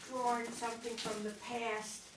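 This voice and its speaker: Higher-voiced woman, very echoey noisy room. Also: level tone, normal speed. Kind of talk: informal group critique. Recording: very echoey, distant, loud background noise.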